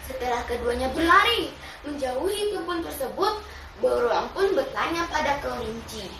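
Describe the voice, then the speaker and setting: A child of about ten reciting a children's fable as a storyteller, with deliberate, dramatic phrasing. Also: sits high, lively intonation, a crowd in the background. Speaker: storytelling contest participant. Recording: roomy, distant mic, clearly noisy ambience.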